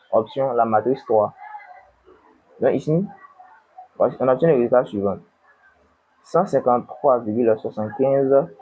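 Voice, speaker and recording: A man's voice: medium pitch, breathy edge. Man with a cold, tinny recording. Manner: slow, dictating numbers, asks and answers himself. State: has a cold. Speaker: econometrics tutor. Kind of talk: screen-recorded worked exercise.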